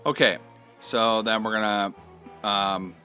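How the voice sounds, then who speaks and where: A man's voice: lowish voice, fairly even intonation, slow, pushed and bright, faint music behind. A man presenting a casual step-by-step instructional video, explaining things in a conversational, hands-on way. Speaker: host of an instructional product video.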